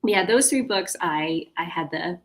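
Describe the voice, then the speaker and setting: American female author as interview guest, lowish pitch, casual, hesitant, self-interrupting delivery. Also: lively intonation, unhurried. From California, United States.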